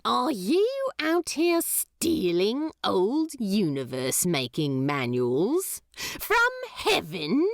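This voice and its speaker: A woman's voice: medium pitch, big pitch swings, slow, dry recording, studio quality. A woman voicing a smug, teasing male demon in a narrated story, with a sardonic drawl.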